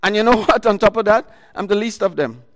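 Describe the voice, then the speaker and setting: A man preaching a sermon into a microphone before an audience, with a loud voice and talk broken by fillers and restarts. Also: high-pitched, brisk, big-room sound. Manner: loud voice, talks in bursts with many fillers and restarts. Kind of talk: sermon retelling a Bible story.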